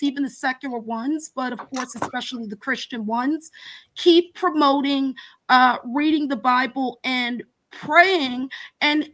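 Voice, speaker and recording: A woman caller arguing loudly and insistently in a live chat, often restarting and repeating her words. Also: sits high, poor audio. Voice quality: loud projecting voice. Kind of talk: heated debate on a live chat.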